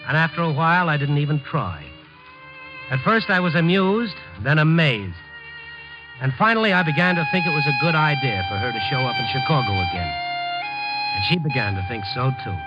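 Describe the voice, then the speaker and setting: A man's voice: on the high side, expressive, brisk, natural voice. An actor playing a wry, guarded newspaperman with a dry, clipped manner in a 1950s radio drama. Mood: reflective, wry. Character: wry, guarded male Chicago newspaperman narrating his romance. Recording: muffled 1950s radio broadcast, music behind.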